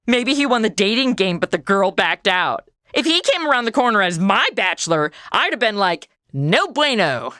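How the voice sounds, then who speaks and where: A woman's voice: mid-range voice, big pitch swings, normal speed, few pauses, fairly bright tone, no room sound, clean studio sound. An actress playing a sassy, flippant modern American woman who wisecracks her way through a comedy radio drama. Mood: brash, indignant.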